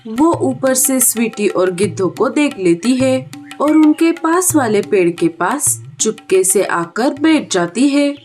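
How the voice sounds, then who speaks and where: A woman's voice: on the high side, expressive, slow, darkish tone, soft background music. A woman narrating a scripted story for children.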